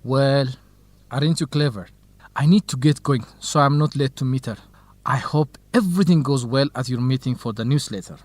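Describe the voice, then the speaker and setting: A man's voice: mid-range voice, moderate pace, a little mellow, dry recording, close to an everyday voice. A young man playing an eager, nervous journalism student in an educational radio drama, speaking earnest, carefully enunciated English. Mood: friendly, curious.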